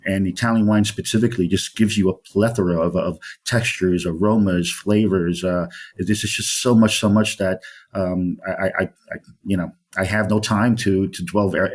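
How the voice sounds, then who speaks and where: A man's voice: on the low side, slightly rough. A middle-aged man from Colombia, a wine specialist being interviewed, talking in a rambling way with many fillers and restarts. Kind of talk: interview conversation.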